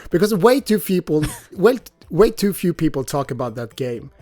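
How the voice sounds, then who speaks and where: Brief: male podcast guest, thirties, easygoing and chuckly, dry sound, soft music underneath. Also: a little high, moderate pace, no room sound. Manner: relaxed, chuckling, nostalgic. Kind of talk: casual podcast interview.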